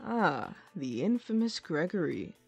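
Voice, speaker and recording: A woman's voice: on the low side, sing-song, measured pace, creaky, husky, lo-fi sound, soft background music, full character voice. A woman playing a polite, enthusiastic twin brother in an audio drama, courteous and slightly theatrical.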